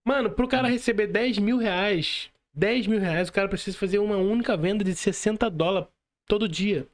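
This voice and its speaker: A man's voice: high-pitched, normal speed. A male podcast host with a Brazilian accent, talking casually in slang and repeating points for emphasis.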